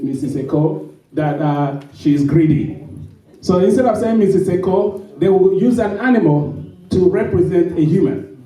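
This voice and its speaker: A man, a storyteller, giving a halting, then emphatic, speech to an audience. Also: a little high, warm tone. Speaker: storyteller speaking at a summit. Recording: echoey hall, mic from a distance.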